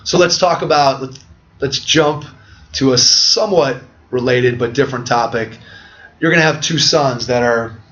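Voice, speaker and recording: A man's voice: medium pitch, moderate pace, a bit bright. A middle-aged man hosting a podcast interview, talking reflectively in long, winding sentences. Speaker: podcast host. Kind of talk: conversational interview. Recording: dull lo-fi audio.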